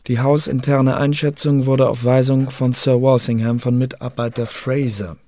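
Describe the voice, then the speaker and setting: An actor playing a secret service archivist, narrating a dossier formally and matter-of-factly in a radio drama. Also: male voice, medium pitch, little pitch movement, moderate pace, mellow, dry recording, natural voice.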